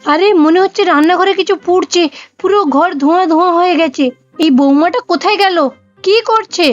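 A woman's voice: very high voice, a little fast, no echo, lo-fi sound, faint music behind. A woman playing a hot-tempered, scolding mother-in-law in a cartoon, with a dramatic, exasperated delivery. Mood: annoyed. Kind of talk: cartoon voice acting.

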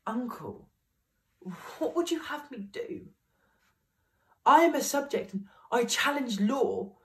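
A man's voice: very high-pitched, lively intonation, slow, bright, forceful tone, heavily acted. A young man playing an aggrieved, banished nobleman, declaiming classical verse as he pleads for his inheritance. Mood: indignant. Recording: voice picked up from a distance.

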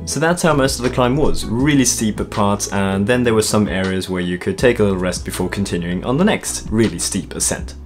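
A young man narrating his own hiking vlog in a relaxed, chatty way from his bedroom, with a light laugh at himself now and then. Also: lowish voice, fairly quick, hardly any pauses. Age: young adult. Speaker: vlogger. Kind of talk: casual travel vlog narration. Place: bedroom, indoors. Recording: music bed under the voice.

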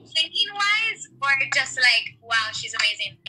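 A woman's voice: high voice, big pitch swings, measured pace, background noise. A young woman chatting casually with fans on a video call, laughing often and speaking with lots of fillers. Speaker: singer answering fan questions. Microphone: video call on a phone.

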